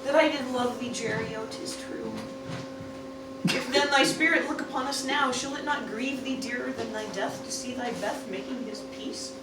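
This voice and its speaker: A woman's voice: on the high side, moderate pace, natural voice. A woman playing a grieving cartoon wife and mother in an amateur Shakespeare parody radio play, declaiming theatrically. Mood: solemn. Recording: echoey room, distant mic, clear noise.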